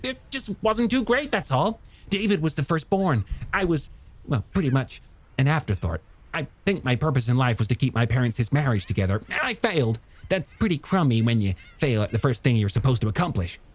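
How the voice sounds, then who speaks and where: A man voicing an eager, chatty, self-deprecating younger man in an audiobook, with halting, animated delivery. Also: medium pitch, pitched above the performer's own voice, close to an everyday voice. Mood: frank, wry. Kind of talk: audiobook character dialogue.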